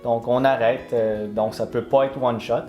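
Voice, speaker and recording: Calm man in an echoey room. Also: medium pitch, measured pace, muffled recording, soft background music. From Vietnam. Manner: calm, reads questions, hesitant fillers. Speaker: cooking channel co-host. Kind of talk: casual Q&A chat. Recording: roomy echo.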